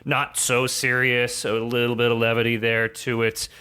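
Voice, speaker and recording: A man's voice: mid-range voice, monotone, moderate pace, sharp tone, smooth. A man speaking as a podcast guest, explaining things in a casual, conversational way. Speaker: podcast guest, audio drama writer.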